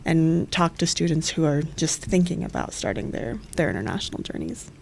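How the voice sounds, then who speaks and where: A woman's voice: sits low, animated, a little fast, gravelly, a little mellow, a little hiss or hum. A young woman from Florida, an exchange coordinator, explaining her job in friendly detail in an interview. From Florida, USA. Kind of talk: interview answers.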